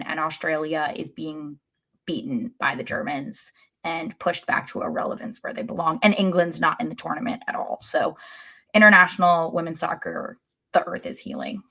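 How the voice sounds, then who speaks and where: A woman's voice: low voice, creaky, a bit bright. An American woman co-hosting a sports podcast in casual chat, opinionated and wry, with emphatic turns.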